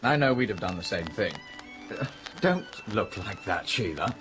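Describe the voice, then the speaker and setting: Low-voiced, self-assured gentleman. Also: very animated, moderate pace, rough recording. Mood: assured, dismissive. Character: self-assured, dismissive gentleman siding with the employer. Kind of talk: radio drama acting. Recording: music under the voice.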